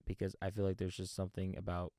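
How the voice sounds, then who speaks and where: Low, even-toned man talking sports. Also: dry recording, studio quality. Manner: halting, rephrases mid-sentence. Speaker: sports show host. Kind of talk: unscripted sports commentary.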